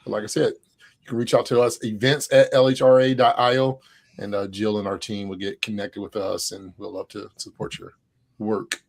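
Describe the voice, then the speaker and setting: A man's voice: lowish voice, little pitch movement, normal speed. A man co-hosting an online HR event over a video call, in an upbeat, joking, casual manner with frequent fillers. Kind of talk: casual livestream chat.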